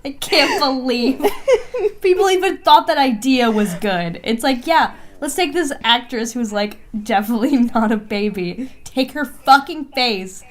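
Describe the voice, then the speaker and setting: A woman's voice: a little high, lively intonation, measured pace. A young woman co-hosting a casual comedy podcast, reacting with dramatic, sweary flair. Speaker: podcast co-host.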